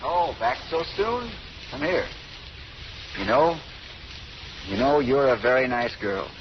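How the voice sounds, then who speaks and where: Charming, playful male romantic lead for vintage radio drama, medium pitch, muffled old broadcast with faint hiss. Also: big pitch swings, takes it slowly. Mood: charming, teasing. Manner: bantering, courting, playful. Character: charming, flirtatious scientist with bandaged eyes, jokey patient. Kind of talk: 1930s-40s radio drama acting.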